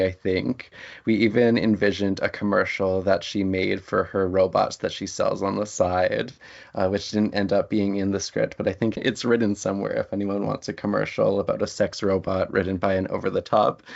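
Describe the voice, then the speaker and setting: A man with a low, gravelly voice chats as an interview guest about his creative work. He speaks in an easy conversational style, and the recording is dull and band-limited. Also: darkish tone.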